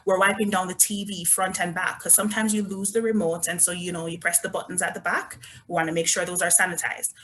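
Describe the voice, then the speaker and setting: A woman's voice: lowish voice, little pitch movement, fast, few pauses, a bit bright, a little hiss or hum. A woman with a Jamaican accent, presenting resorts in an upbeat, chatty sales talk over a video call. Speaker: resort business development manager. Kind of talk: online travel presentation.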